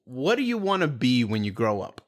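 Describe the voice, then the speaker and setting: A man's voice: medium pitch, big pitch swings, brisk, slight background noise. A man chatting casually with young kids, asking questions and repeating their answers back. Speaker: host interviewing his children.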